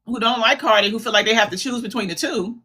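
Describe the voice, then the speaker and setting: A woman's voice: fairly high pitch, fast, a crowd in the background. A woman hosting a live commentary stream in a chatty, repetitive way, calling her viewers "babies". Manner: chatty, calls viewers "babies", repeats herself for emphasis. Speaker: commentary livestream host.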